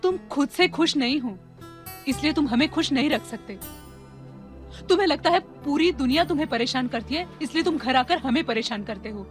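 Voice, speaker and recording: A woman's voice: high-pitched, animated, brisk, soft background music. A woman acting a weary, hurt wife and mother in a film drama, speaking plainly and earnestly with reproach. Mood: hurt, reproachful. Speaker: actor in a film.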